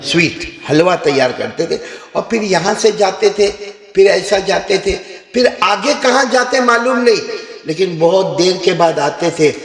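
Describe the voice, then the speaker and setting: A male Islamic preacher tells a story as a sermon, animated and given to sudden high rises. His voice sits fairly high. It rings through a large, roomy hall with a long reverb. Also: unhurried.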